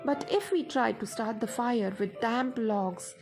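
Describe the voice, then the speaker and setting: A woman guides a meditation in a fairly high voice. She speaks very slowly and in a measured, instructive way. Music plays softly behind her words.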